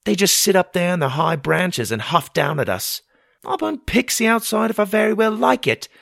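A sharp-tongued fairy mother from a storybook, voiced by a man. She sounds bossy and scornful, pitched well above a man's usual voice and loud. The sound is dry and close. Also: sing-song, moderate pace, vocal fry, no room sound, exaggerated voice. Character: spirited sarcastic fairy mother.

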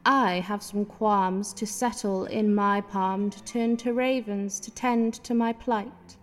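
An actress in a radio play as an ambitious, scolding, scheming politician's wife. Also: female voice, medium pitch, steady tone, slow, faint music behind, close to an everyday voice. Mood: incantatory, scheming.

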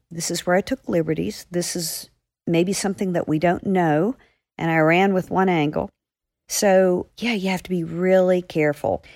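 A woman author talks earnestly and chattily, her voice sitting fairly low for a woman. The sound is dry and close to the mic. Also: darkish tone, dry recording. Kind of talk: podcast guest answer.

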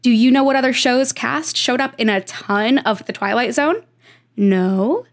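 A woman doing a playful podcast segment, talking to listeners in quirky, deadpan short phrases. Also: on the high side, unhurried. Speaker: podcast producer with regular segment.

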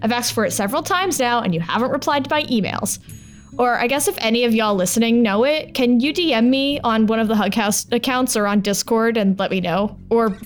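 A woman's voice: fairly high pitch, fairly quick, faint music behind. A woman hosting a podcast, reading a script with informal, candid and wry asides. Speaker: podcast host and producer.

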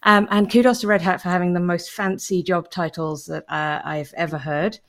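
A woman's voice: lowish voice, steady tone, normal speed, fairly bright tone. Chatty upbeat woman podcast host. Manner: chatty, upbeat, enthusiastic interjections. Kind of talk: casual interview chat.

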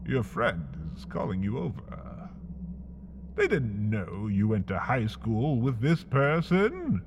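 A man reading a scripted comedic story in the second person, wry and slow, with big swings in his voice. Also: lowish voice, huge pitch swings, long reverb tail, background noise. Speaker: narrator.

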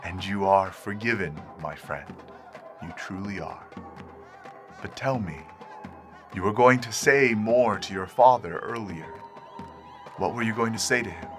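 A man voicing a kind, compassionate young man who speaks calmly. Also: fairly low pitch, measured pace, pauses often, over music, lightly acted. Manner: calm, compassionate. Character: kind forgiving young man, a loyal friend.

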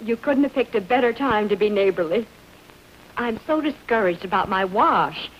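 Actress as animated, earnest housewife, dull vintage sound. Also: female voice, medium pitch, moderate pace. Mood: discouraged. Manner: exaggerated earnestness. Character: earnest housewife with laundry troubles in an advert. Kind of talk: vintage mid-century commercial acting. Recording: dull, rough vintage radio advert recording.

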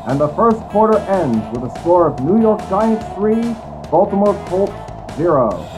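An actor playing a brisk, dramatic 1950s football announcer, calling play-by-play in a declamatory old-time style. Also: male voice, sits high, over music. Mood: excited. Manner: declamatory, building excitement on plays. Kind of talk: old-time sports commentary.

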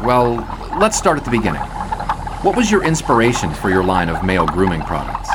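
A man playing an impatient 1920s newspaper reporter in a comedic audio drama, with a dry, businesslike, hurried delivery. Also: fairly low pitch, expressive, very noisy, lightly acted.

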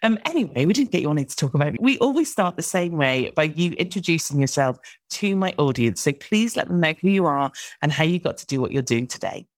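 A woman's voice: sits low, very animated, fast talker, no echo. Woman podcast host with dry sound. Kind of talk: conversational interview.

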